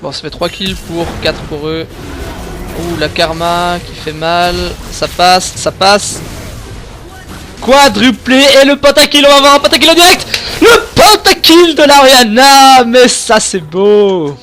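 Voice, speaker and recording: A man commentating his own gameplay live, excitable and coaching the viewers on items. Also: high voice, a little slow, slight background noise. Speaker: gamer explaining his play. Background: game music.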